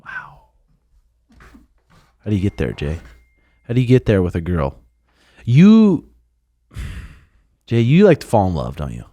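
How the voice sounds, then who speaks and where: A man's voice: on the low side, wildly swooping pitch, a little slow, long pauses, warm tone, dry recording. A young man hosting a podcast, chatting casually with easy, jokey swearing. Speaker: podcast host.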